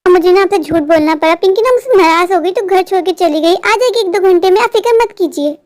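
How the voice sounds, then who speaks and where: A woman's voice: very high voice, brisk, no room sound, studio quality. A woman voicing a cruel, deceitful young stepmother in a cartoon melodrama, with a sarcastic, self-satisfied manner. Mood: evasive, placating. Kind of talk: melodramatic Hindi cartoon moral story.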